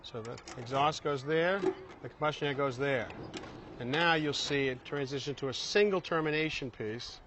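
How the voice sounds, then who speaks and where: A man's voice: medium pitch, moderate pace, fairly bright tone, a little hiss or hum. A plain-spoken man hosting a home improvement show, explaining hands-on work step by step in a conversational way. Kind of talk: hands-on how-to demonstration, conversational.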